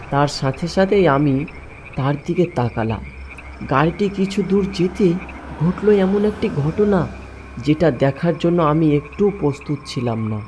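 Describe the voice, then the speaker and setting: A man's voice: a little high, darkish tone, slight background noise. A man narrating a first-person horror story in a dramatic, suspenseful way.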